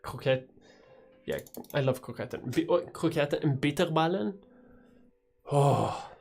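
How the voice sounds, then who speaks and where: A woman's voice: deep voice, measured pace, vocal fry, darkish tone, faint music behind. Rambling Swedish-accented woman streamer. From Sweden. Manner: rambling, swears casually, frequent restarts and laughs. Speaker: YouTuber hosting a livestream. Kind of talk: casual livestream chat reading chat questions.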